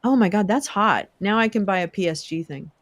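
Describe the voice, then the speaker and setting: A young woman hosting a casual livestream, chatting with viewers, swearing and laughing often, her voice wearing hoarse. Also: medium pitch, fairly quick. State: losing her voice. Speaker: livestream host. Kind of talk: casual livestream chat reading viewer comments.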